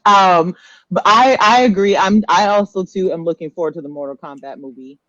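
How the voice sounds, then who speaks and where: A man's voice: high voice, fairly quick, fairly bright tone, dry recording. A man co-hosting a podcast in casual, blunt, informal talk with some swearing, on a dull lo-fi recording. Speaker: podcast co-host. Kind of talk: casual live podcast chat. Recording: dry, dull lo-fi podcast audio.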